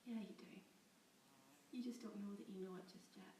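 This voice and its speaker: A woman voicing a calm, kind woman captor who speaks softly and patiently. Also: a little high, brisk, lightly acted. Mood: gentle. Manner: soft-spoken, patient, kind. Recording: roomy, distant, faint background hiss.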